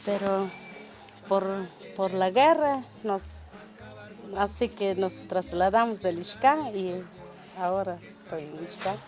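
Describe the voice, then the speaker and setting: Slow, halting woman from Huehuetenango. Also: mid-range voice, a little mellow, soft background music. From Huehuetenango, Guatemala. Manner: halting, hesitant, many restarts. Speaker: community resident sharing testimony. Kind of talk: testimony interview.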